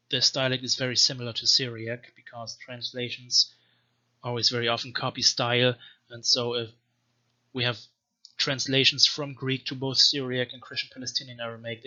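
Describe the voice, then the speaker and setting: A man giving an informal, scholarly language lecture in a deliberate, measured manner, as a teacher explaining and reading aloud. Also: medium pitch, pushed and bright. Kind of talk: informal explanatory lecture with slow read-aloud text samples. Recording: dull, lo-fi microphone sound.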